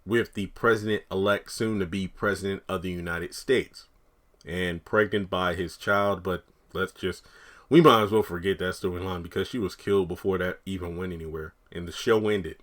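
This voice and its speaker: Raspy man, freshly woken, chatting. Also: on the low side, fairly bright tone. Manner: rambling, chatty, thinks aloud. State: just woken up. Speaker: TV commentary YouTuber. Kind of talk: casual speculative commentary.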